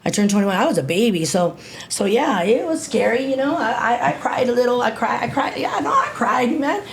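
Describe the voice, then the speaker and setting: A woman in her fifties telling a gritty personal story in a casual interview, animated, repeating herself and swearing freely. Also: on the high side, a little fast, creaky, a little hiss or hum. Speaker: interview guest.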